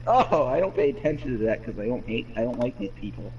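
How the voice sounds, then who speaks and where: A man's voice: mid-range voice, animated, fast, a crowd in the background. A man in casual voice chat, mocking and insulting his friends and giggling. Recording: dull lo-fi mic with faint hiss.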